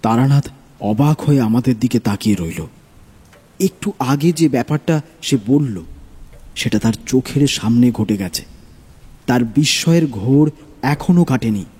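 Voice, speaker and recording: A man narrating a scripted horror story in a measured, suspenseful manner. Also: mid-range voice, expressive, darkish tone, slightly rough. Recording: long reverb on voice.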